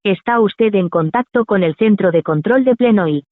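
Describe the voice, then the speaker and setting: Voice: mid-range voice, expressive, moderate pace, narrow, tinny audio. Automated hold-line announcer, formal scripted read, slight music behind. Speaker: recorded phone system announcer.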